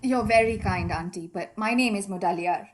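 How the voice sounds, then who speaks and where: A woman's voice: medium pitch, normal speed, lightly acted. A woman playing a proud, composed young lady in a radio drama, speaking with polite formality.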